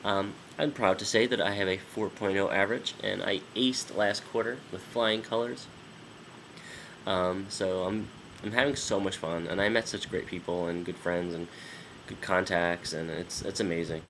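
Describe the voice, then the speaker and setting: A man's voice: low-pitched, fairly even intonation, normal speed, creaky, fairly bright tone, a little hiss or hum, rough recording. A man in his twenties, nasal from a sinus infection, giving a rambling casual vlog update full of ums and restarts. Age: 20s. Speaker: vlogger.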